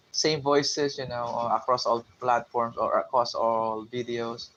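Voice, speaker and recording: A man's voice: medium pitch, level tone, takes it slowly, a bit bright. A man from the Philippines with a Filipino English accent, talking casually to his channel viewers, with many fillers and restarts. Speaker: YouTube channel host. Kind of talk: casual unscripted talk to camera. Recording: dull lo-fi recording with faint room noise.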